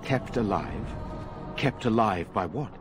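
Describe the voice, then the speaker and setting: A man's voice: on the low side, lively intonation, slow. An actor playing a troubled, dutiful nobleman in a fantasy game, with a formal and earnest way of speaking. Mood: startled concern. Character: troubled, dutiful nobleman protecting his villagers. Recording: music behind the voice.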